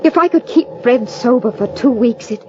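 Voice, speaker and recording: An actress playing an unhappy, earnest young wife in old radio melodrama style, hesitant and pleading. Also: female voice, fairly high pitch, lively intonation, normal speed, a little hiss or hum, natural voice. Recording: dull old recording with music behind. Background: music.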